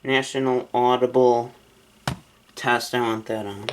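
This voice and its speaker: A man's voice: medium pitch, steady tone, measured pace, noisy background. A man casually talking through a gadget setup as a vlogger, thinking aloud as he reads out options and decides. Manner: thinking aloud, reading menu items then deciding. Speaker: vlogger setting up a weather radio. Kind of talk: casual unboxing and setup chat.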